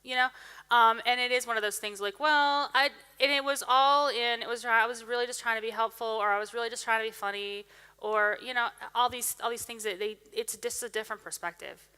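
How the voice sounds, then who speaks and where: Brief: woman conference speaker, bright crisp voice, animated off-the-cuff Q&A, heard in a big echoing hall. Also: fairly high pitch, a little fast. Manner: animated, chatty, many restarts. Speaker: conference speaker taking questions. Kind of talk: casual Q&A after a talk. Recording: reverberant hall sound.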